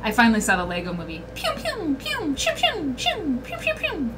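A woman's voice: sits high, wildly swooping pitch, normal speed, heavy background noise. A woman hosting a casual livestream chat from a small room, reading viewer comments aloud and talking to them directly. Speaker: host.

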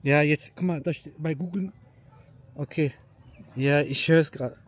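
A man with a slightly high, husky voice chats casually out on a street. He speaks through a headset mic. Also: fairly even intonation, moderate pace, no echo. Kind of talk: casual chat during a field test. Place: outdoors on a residential street.

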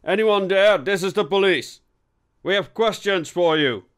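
A man's voice: high-pitched, a little slow, clean tone, pitched above the performer's own voice. Man acting a stern, raised-voice police inspector. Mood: stern, forceful. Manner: stern, barking orders. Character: commanding, short-tempered male police inspector, dramatic and exasperated. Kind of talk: audiobook character voice.

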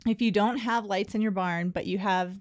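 A woman's voice: medium pitch, steady tone, moderate pace. Middle-aged lady walking you through her horse barn setup, plain and friendly, easygoing. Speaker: horse owner vlogger. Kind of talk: casual how-to narration.

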